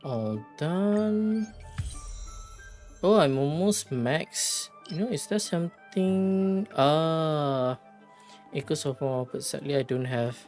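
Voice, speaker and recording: A game livestreamer chatting casually, rambling and halting while thinking aloud. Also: medium pitch, expressive, darkish tone, faint music behind. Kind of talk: casual gaming stream chat.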